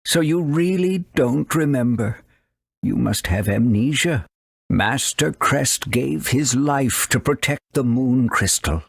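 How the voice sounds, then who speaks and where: Video game owl, old and earnest: gravelly voice with big swoops in pitch, caring and theatrical. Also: male voice, lively intonation, takes it slowly, no room sound, studio quality, exaggerated voice. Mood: grave, sorrowful. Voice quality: raspy, creaky. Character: fussy elderly talking owl guardian, caring and earnest.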